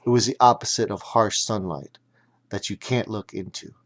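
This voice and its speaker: A man narrating a children's audiobook dramatically, in deliberate, emphatic, broken phrases. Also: on the low side.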